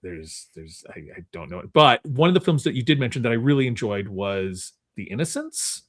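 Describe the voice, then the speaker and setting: A man hosts a casual movie game on a podcast. His pitch is average, but it moves around a great deal as he addresses his guests. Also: sing-song, moderate pace. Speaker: podcast host.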